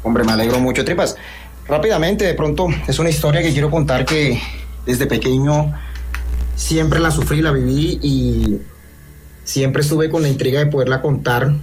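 A man in his thirties with a coastal Colombian accent, calling in to tell a personal ghost story, halting with many restarts and fillers. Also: on the high side, a little mellow, slight background noise, rough recording. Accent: Colombian coastal Spanish. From Colombian Caribbean coast. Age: 30s. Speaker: caller.

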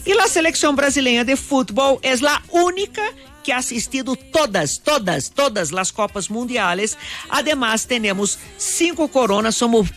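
A woman's voice: on the high side, lively intonation, moderate pace, fairly bright tone, soft background music. A Brazilian woman with a strong Brazilian Portuguese accent, chatting playfully and mock-boastfully in a radio booth. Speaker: radio panelist. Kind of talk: casual chat.

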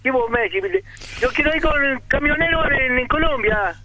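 A man with a Paraguayan accent calling into a radio show, joking and teasing excitedly. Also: very high-pitched, moderate pace, a little hiss or hum. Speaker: caller. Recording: phone line.

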